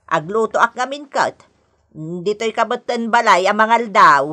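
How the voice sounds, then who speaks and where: Sharp, bossy, nagging older mother-in-law. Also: mid-range voice, lively intonation. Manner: nagging, bossy, drawn-out delivery. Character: demanding, scolding older mother-in-law and grandmother. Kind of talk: Philippine radio comedy drama acting.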